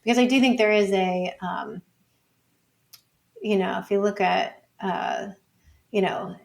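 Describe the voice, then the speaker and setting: A woman's voice: mid-range voice, a little slow, creaky, darkish tone. A woman researcher speaking as an interview guest, informal and conversational, sprinkling her points with "like" and "you know". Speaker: academic researcher, podcast guest.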